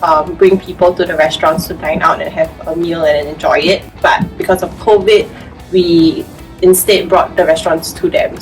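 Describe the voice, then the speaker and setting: A woman who works at a food bank, reminiscing casually in an interview. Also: lowish voice. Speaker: food bank staff member. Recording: slightly distant mic under background music. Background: background music.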